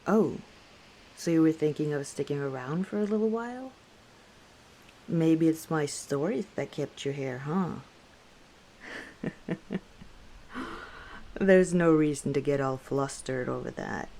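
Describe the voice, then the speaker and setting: Female orc innkeeper for ASMR roleplay, acted by a woman; dark, relaxed tone, leisurely delivery, playful and easygoing. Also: deep voice, slight background noise, exaggerated voice. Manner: unhurried, playful teasing with frequent chuckles. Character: easygoing, teasing female orc tavern owner. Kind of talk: ASMR roleplay, one side of a conversation.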